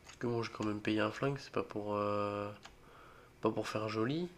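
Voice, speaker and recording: A young man with a cold, voice a bit rough, joking and getting spooked as he plays a horror game for his channel. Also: on the low side, fairly even intonation, normal speed, slight background noise. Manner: jokey, easily spooked, mutters reactions and swears. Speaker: gaming video creator. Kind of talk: solo horror game let's play commentary.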